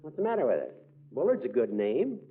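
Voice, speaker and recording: An actor playing a cheerful, teasing old small-town judge in a brisk, folksy way for 1940s radio comedy. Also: male voice, mid-range voice, wildly swooping pitch. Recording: muffled, humming, noisy 1940s radio recording.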